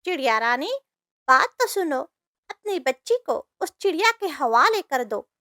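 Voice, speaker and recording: A woman acts out a fretful, scheming cartoon bird. The voice is extremely high, sharp and bright, urging and exclaiming, with a very dry sound. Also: very animated, a little slow, dry recording, exaggerated voice. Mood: persuasive. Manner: urgent, exclamatory, persuasive. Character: squeaky, alarmist forest birds, scheming and pleading. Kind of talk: Hindi cartoon story voice acting.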